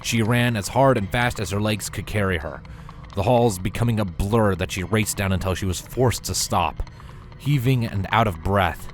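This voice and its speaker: A man narrating a scripted story with a dramatic, expressive delivery. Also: fairly low pitch, moderate pace.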